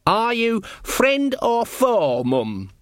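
A man's voice: extremely high pitch, wildly swooping pitch, very slow, dry recording, studio quality, voice pushed up, exaggerated voice. A man voicing an officious young castle guard in an audiobook, dutiful and whining at his mother.

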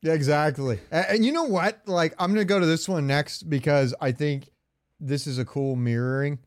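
A man hosting a podcast, giving casual fan commentary in a smooth, clear voice. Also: fairly high pitch, moderate pace, no echo. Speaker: podcast host.

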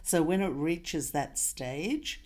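A woman's voice: low voice, lively intonation, takes it slowly, a little mellow. A woman hosting a casual interview, eagerly agreeing and asking pointed questions. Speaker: interview host.

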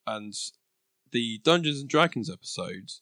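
A man's voice: mid-range voice, expressive, unhurried, a bit bright, no echo, studio quality. A man co-hosting a podcast in easygoing casual chat, often laughing between remarks. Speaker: podcast co-host.

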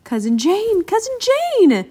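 A woman's voice: mid-range voice, expressive, normal speed, natural voice. An eager young boy crocodile from a children's storybook, voiced by a woman. He is polite and earnest, with a youthful, brave way of talking. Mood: urgent.

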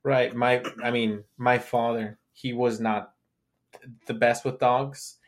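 A man's voice: mid-range voice, level tone. Guy on a podcast, average voice, lots of yeahs, stumbles and repeats words. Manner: agreeable, halting, repeats words when starting. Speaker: podcast co-host. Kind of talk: casual chat.

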